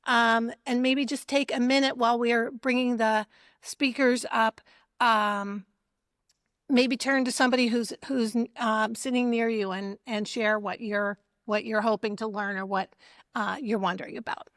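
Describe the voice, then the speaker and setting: A woman moderating a community forum, introducing speakers with many pauses and restarts. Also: on the high side, steady tone, a bit bright, no room sound, studio quality. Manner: hesitant, many ums and restarts. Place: community meeting room.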